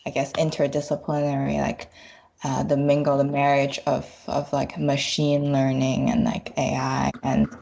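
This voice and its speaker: A woman's voice: a bass voice, completely flat delivery, moderate pace, creaky, darkish tone. A woman speaking as a podcast guest on an online call, hesitant and searching for words in casual conversation.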